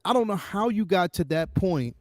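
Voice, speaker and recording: A man's voice: sits high, expressive, moderate pace. A man co-hosting a casual film discussion, giving opinions emphatically and repeating his points for stress. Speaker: co-host.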